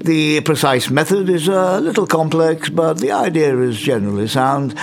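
An actor with an English accent playing a crotchety, brilliant old scientist in an audio drama, pompous and muttering, with old-fashioned phrasing. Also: male voice, on the high side, animated, normal speed, vocal fry. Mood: lecturing. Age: older.

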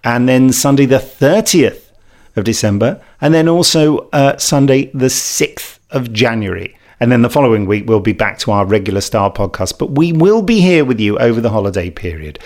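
A man's voice: medium pitch, lively intonation, normal speed, darkish tone. A British man hosting a casual podcast, chatting in a jokey, rambling, hesitant way. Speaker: podcast host. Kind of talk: casual unscripted podcast chat.

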